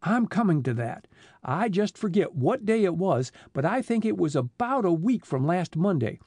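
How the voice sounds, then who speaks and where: A man voicing a slow-witted, long-winded middle-aged truck driver who talks in a plodding, folksy way. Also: high-pitched, big pitch swings, a little mellow, slightly rough, dry recording, voice pushed up, heavily acted.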